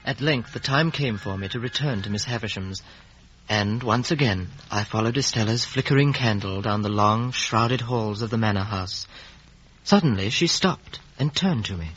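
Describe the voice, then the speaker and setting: A man playing an earnest young man in a 1950s radio drama, literary and measured, with a husky voice. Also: fairly low pitch, a bit bright, faint music behind. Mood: reflective. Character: earnest young man narrating his own boyhood. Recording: muffled vintage radio recording.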